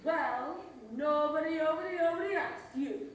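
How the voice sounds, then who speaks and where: A woman's voice: high-pitched, normal speed, heavy reverb, distant mic, slight background noise, natural voice. A woman playing a fussy goose who repeats herself. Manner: repeats words three times.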